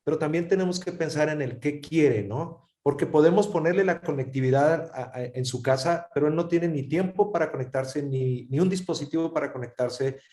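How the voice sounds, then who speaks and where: A man's voice: fairly high pitch, moderate pace, few pauses, a little mellow. Man moderating a panel, thoughtful. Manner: thoughtful, repeats words while searching for phrasing.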